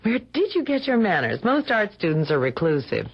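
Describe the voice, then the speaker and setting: A woman's voice: medium pitch, sing-song, moderate pace, a little hiss or hum. A woman acting in a radio drama as a wry, teasing but affectionate wife and hostess, with dry banter. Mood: wry, hospitable. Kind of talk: radio theater acting, naturalistic dialogue. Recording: muffled, dull old radio broadcast.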